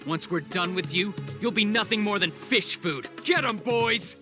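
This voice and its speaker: Brash gang thug over loud music. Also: male voice, high voice, unhurried. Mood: taunting, menacing. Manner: taunting, blustering. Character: aggressive male desert gang thug, menacing and brash. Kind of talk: dramatic video game villain acting.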